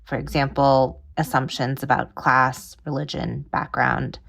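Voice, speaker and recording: A woman from Massachusetts reads her listener question aloud at a measured pace. Her voice sits very low. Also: flat delivery, creaky, a bit bright.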